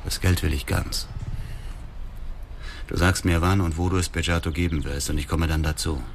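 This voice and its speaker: An actor playing a vengeful, coldly cruel blackmailer in a radio drama, sneering, domineering and coarse in manner. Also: male voice, low voice, steady tone, moderate pace, slight background noise. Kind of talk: naturalistic German radio drama acting.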